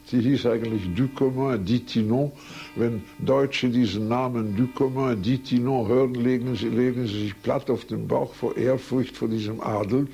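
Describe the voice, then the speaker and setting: A man's voice: medium pitch, steady tone, moderate pace, creaky, dark tone, faint music behind. An older man from Switzerland whose first language is French, telling his life story in a recorded interview, rambling and anecdotal with frequent hesitations and self-corrections. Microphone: recorded on tape.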